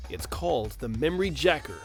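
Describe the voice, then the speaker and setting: A woman's voice: deep voice, sing-song, fairly quick, faint music behind. A woman playing a brash young male echidna adventurer in an audio drama, blunt, confident and teasing. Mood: confident, impatient. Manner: blunt, cocky. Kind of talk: fan audio drama acting.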